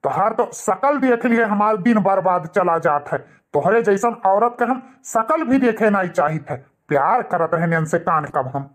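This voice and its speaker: A man's voice: sits high, lively intonation, moderate pace, few pauses. Guy doing a dramatic awadhi son in a cartoon, hot-tempered married man. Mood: angry, contemptuous. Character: devoted son and scornful, hot-tempered husband. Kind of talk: cartoon dialogue.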